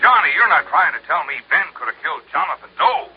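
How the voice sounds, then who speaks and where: An actor playing an insurance agent on a telephone line, doubting and quick to object. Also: male voice, fairly high pitch, very animated, faint music behind. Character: insurance agent, a man, on the phone. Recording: husky old radio broadcast.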